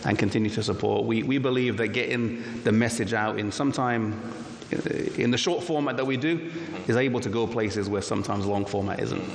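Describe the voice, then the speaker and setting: A man from England, a pastor whose accent is part English and part American, answers an interviewer's questions in a measured, modest way. His voice has a gravelly edge, and the hall around him is roomy with a long reverb. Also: fairly low pitch, fairly even intonation, slight background noise. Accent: English with American mix. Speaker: pastor and ministry director. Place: large hall before a live audience.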